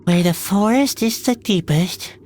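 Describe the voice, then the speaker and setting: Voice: medium pitch, a little slow, a little mellow, lightly acted. Gespielter melancholischer junger Hofnarr, zögerlich und ernst. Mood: melancholy. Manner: hesitant, plaintive, self-doubting. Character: earnest, melancholy young male jester, stubbornly hopeful. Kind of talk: scripted video game acting.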